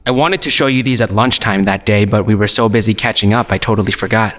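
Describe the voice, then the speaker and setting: A man's voice: fairly low pitch, quick pace, fairly bright tone, natural voice. A man playing an easygoing teenage schoolboy in an audio drama, with a casual, understated delivery. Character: teenage schoolboy, easygoing, matter-of-fact, with hidden powers.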